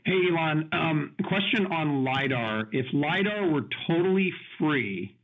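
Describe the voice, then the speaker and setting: A man's voice: on the high side, animated, measured pace. A man speaking as a financial analyst, asking a question over the phone on an earnings call. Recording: tinny telephone line.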